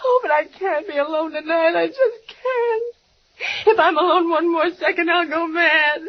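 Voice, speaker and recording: A woman's voice: very high voice, moderate pace. An actress playing a frantic, bedridden woman alone at night, pleading and breaking into sobs in 1940s radio acting style. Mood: sobbing despair. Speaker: lead actress. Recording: muffled 1940s radio broadcast.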